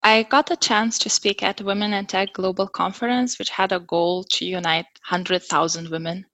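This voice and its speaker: A woman's voice: mid-range voice, fairly even intonation, normal speed, bright, forceful tone, dry recording. A woman with a Lithuanian accent speaking earnestly and conversationally as an interview guest. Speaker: interview guest, tech consultant.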